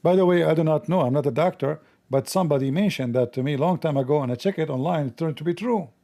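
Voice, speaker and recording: Male host, gravelly, mellow and dark-toned, halting non-native English, mocking commentary. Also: a little high. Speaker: channel host. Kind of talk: casual commentary, quizzing an AI assistant.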